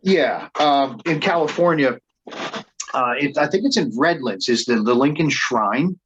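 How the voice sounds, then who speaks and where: A man's voice: medium pitch, animated, unhurried, a bit bright, muffled recording, a little hiss or hum. A man who leads a band, telling stories in a casual interview and often restarting and repeating words as he goes. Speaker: band leader and reenactor being interviewed.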